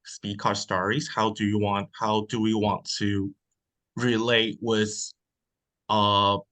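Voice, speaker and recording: Slow-talking man from Taiwan. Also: fairly low pitch, level tone, pushed and bright. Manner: shy, hedging, with nervous fillers. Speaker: translator, panel guest. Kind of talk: conversational answer in a panel discussion.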